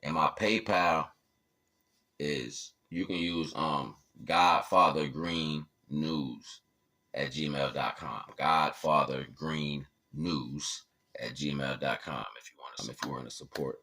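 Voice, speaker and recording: A man's voice: a bass voice, fairly even intonation, slow, pushed and bright. An American man chatting casually and informally to followers as a livestream host, with slangy speech and repeated catchphrases. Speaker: livestream host and independent musician.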